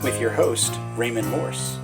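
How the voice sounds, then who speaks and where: A man's voice: medium pitch, a little slow. A man announcing a show in a scripted intro style. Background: guitar music.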